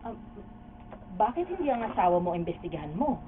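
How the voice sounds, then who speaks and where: A woman's voice: medium pitch, big pitch swings, a little fast, close to an everyday voice. A woman playing an accused, defensive woman with an indignant, challenging delivery in a radio drama. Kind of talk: radio drama acting in Tagalog. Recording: noisy, muffled studio camera audio.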